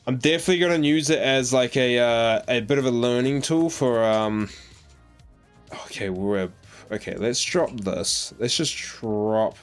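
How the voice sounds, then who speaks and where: A man's voice: medium pitch, unhurried, smooth, faint music behind. A man with an Australian accent chatting casually on a live game stream, rambling with lots of fillers as he answers his chat. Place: home in New Zealand.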